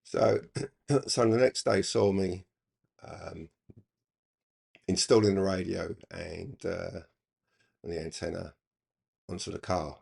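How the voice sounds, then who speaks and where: An older man reminiscing casually in a halting, rambling, reflective way. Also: sits low, steady tone, creaky, darkish tone, breathy edge. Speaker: hobby radio channel presenter.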